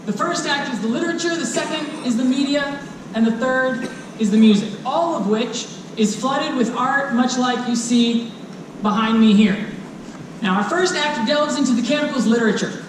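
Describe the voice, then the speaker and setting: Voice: sits high, moderate pace. A storyteller reading rhyming children's fantasy to a live audience in a dramatic, playful way, speaking directly to listeners. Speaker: storyteller presenting a children's book. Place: convention stage before a live audience. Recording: echoey live hall, distant mic, faint noise.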